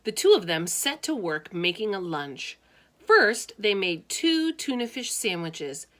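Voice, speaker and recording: A woman reading a children's storybook aloud with expressive, deliberate phrasing and casual asides. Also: medium pitch.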